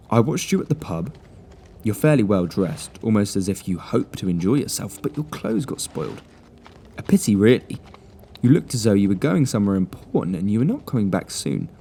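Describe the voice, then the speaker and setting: Smug young male sleuth, cool and deliberate, lively inflection, read by a man. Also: fairly low pitch, slight background noise. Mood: confident.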